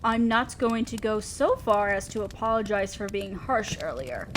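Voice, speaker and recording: A woman playing a commanding, teasing but protective demon lord in a scripted audio roleplay, speaking to the listener in an imperious, theatrical way. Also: on the high side. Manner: imperious, theatrical, mock-scolding. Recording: faint ambient noise, crackling fire.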